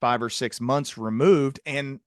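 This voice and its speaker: A man's voice: mid-range voice, unhurried, no echo, studio quality. A man hosting a conversational clinical discussion, speaking earnestly and repeating words for emphasis. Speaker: podcast host, psychiatrist.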